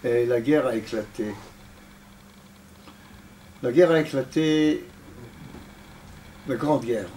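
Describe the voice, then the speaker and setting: Slow, halting older man lecturing. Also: medium pitch, mellow, a little hiss or hum, rough recording. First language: not French. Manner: halting, precise, with frequent pauses. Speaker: guest speaker. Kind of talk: lecture to an audience.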